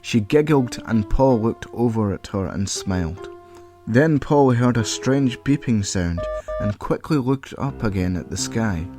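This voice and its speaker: A man narrating an original audio story in an even, measured reading voice. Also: fairly low pitch, music in the background.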